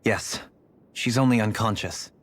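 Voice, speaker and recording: An actor playing a refined, reserved fantasy nobleman in a video game, soft-spoken and polite. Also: on the low side, measured pace. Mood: subdued, guarded. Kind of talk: video game cutscene acting.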